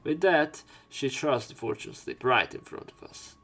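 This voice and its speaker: A man with a gravelly, fry-laden voice reads game text aloud, then breaks off into joking asides. His pitch sits in the middle, and he talks in a loose, casual way with fillers. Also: measured pace, sharp tone, soft background music. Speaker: let's play host.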